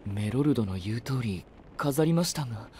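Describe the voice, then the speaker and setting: Man acting polite knight, lively mid-pitched voice. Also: normal speed, a little hiss or hum, lightly acted. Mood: polite, curious. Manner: polite, formal. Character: courteous, earnest male knight, fierce when angered. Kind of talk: Japanese anime-style voice drama acting.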